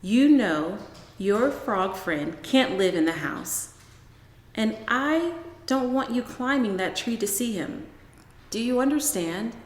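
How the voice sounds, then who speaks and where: A woman's voice: on the high side, animated, slow. A woman reading a children's story as a squeamish, dramatic young mother who speaks in emphatic exclamations. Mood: stern. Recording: roomy echo with long reverb.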